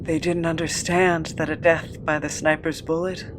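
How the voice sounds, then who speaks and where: An actor playing a weary, haunted former captive in an audio drama, recounting a cruel past in a halting, measured way. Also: medium pitch, level tone, background noise, close to an everyday voice.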